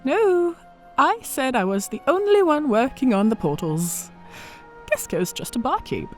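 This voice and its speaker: Woman as cocky orc lady officer, highish voice, teasing and welcoming, fantasy audiodrama w faint music. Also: very animated, unhurried. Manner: playful, self-assured, hospitable. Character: confident, teasing female orc centurion mage. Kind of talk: fantasy audio drama acting.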